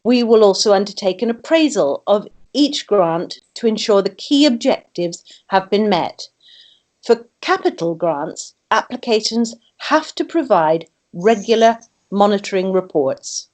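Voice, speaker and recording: A woman giving measured, formal answers as a councillor in a council meeting. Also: medium pitch, lively intonation, darkish tone. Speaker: councillor, portfolio holder.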